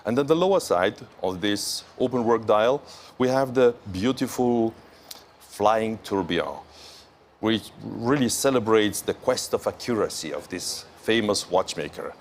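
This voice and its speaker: A man with a low voice presents luxury watches on a morning show. He speaks carefully and admiringly, pausing between phrases. Faint background noise sits under his voice. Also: expressive. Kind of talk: live show product walkthrough.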